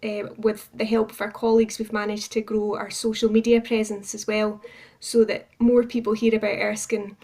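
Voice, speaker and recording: A woman's voice: mid-range voice, flat delivery, normal speed, a little mellow. A woman speaking as a charity representative in an informative radio interview, with an earnest, appreciative way of talking. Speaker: charity campaigns manager, interview guest.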